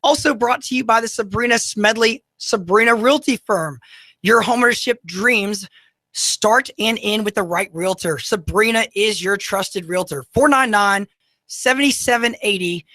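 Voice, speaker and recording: A man with a high, slightly goofy voice hosting local talk radio, talking to listeners directly in a folksy, salesy way. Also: measured pace, bright, forceful tone, dry recording. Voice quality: high-pitched, goofy-sounding voice. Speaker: radio show host. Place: radio studio.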